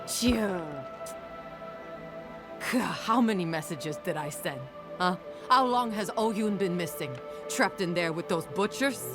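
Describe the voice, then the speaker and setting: An actress playing a worried, impatient female thief with sharp, insistent delivery in a video game. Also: on the low side, expressive, takes it slowly. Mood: agitated, worried. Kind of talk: dramatic video game acting. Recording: dramatic music under the voice.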